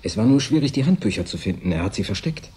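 A man's voice: on the low side, sing-song, quick pace, darkish tone, husky, heavily acted. An actor playing a secretive, lonely man who insists he is sane, confiding intensely in a German radio drama.

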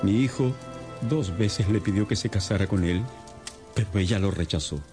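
An actor playing a distressed, respectable middle-aged father, an agitated and earnest gentleman in a radio drama. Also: low voice, moderate pace, natural voice. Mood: troubled, earnest. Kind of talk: Spanish-language radio drama acting. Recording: music under the voice.

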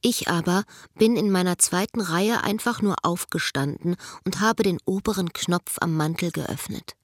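A woman playing a lonely, reflective village schoolteacher in her late thirties, in an intimate radio play monologue with a husky, breathy voice. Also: lowish voice, normal speed, no room sound, clean studio sound, natural voice. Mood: wry, confiding.

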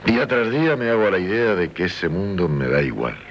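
Despairing dissolute husband, Spain accent, animated swings, halting, 1970s radio play, noisy recording. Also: male voice, sits low, muffled recording. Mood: weary, bitter. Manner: halting, repeats words, dramatic pauses. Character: despairing, dissolute husband, self-loathing and tender. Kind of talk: 1970s radio drama acting.

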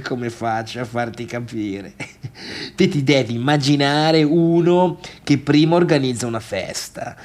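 Mocking, agitated man with slow delivery. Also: medium pitch. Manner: conversational, emphatic, repeats himself, chuckling asides. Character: mocking, agitated man recalling a wild party, self-deluded misfit. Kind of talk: theatrical monologue in colloquial Italian.

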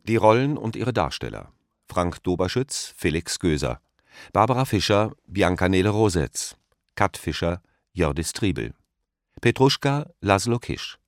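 A man's voice: sits low, very animated, measured pace, no room sound, studio quality. A man reading scripted radio drama credits as an announcer.

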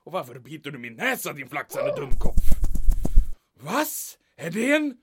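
A man playing a panicky, blustering German army major with a German accent and gravelly voice in a comic audio drama. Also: on the high side, huge pitch swings, background noise. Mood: relieved, blustering. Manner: melodramatic, exclamatory, sprinkling in German words.

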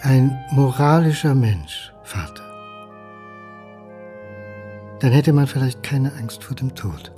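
A man's voice: mid-range voice, animated, measured pace, mellow, faint music behind, close to an everyday voice. An actor playing a lonely, hard-drinking son who speaks to his father in a sardonic, dramatic monologue. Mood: mocking, reflective.

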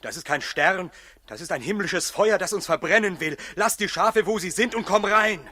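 Tense, superstitious shepherd. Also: male voice, sits high, moderate pace, sharp tone, no echo. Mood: grumbling, fearful. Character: panicky, superstitious, stubborn shepherd. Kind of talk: 1960s German radio play acting. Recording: faintly noisy old radio recording.

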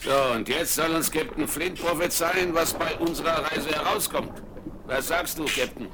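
An actor playing a crafty one-legged pirate cook who plots in a low, sly voice in a children's radio play. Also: male voice, normal speed, close to an everyday voice. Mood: jovial. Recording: noisy old vinyl radio play.

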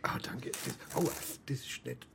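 An actor playing a bookish bookseller and obliging detective's sidekick in a radio drama, literate and explanatory. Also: male voice, measured pace, fairly bright tone, in a whisper, close to an everyday voice. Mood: hurt, grateful.

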